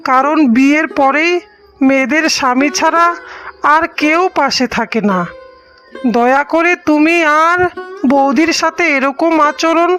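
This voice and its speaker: A woman playing a kindly younger brother in a cartoon melodrama, giving sensible advice with gentle, deliberate admonishment. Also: high voice, soft background music. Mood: gentle admonishing. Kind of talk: slow melodramatic cartoon dialogue.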